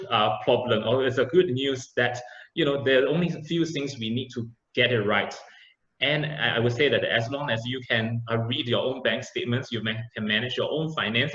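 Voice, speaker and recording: A man's voice: mid-range voice, a bit bright. Man wrapping up a talk on a video call, echoey and muffled, says uh a lot. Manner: hesitant, with "uh" and "you know". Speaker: speaker. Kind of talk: presentation.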